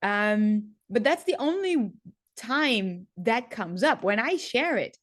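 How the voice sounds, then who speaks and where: A woman's voice: a little high, lively intonation, slow pace, dry recording, studio quality. A young adult woman, a teacher, talking casually and reflectively, full of "like" and "um". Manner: chatty, loose, with frequent "like" and "um".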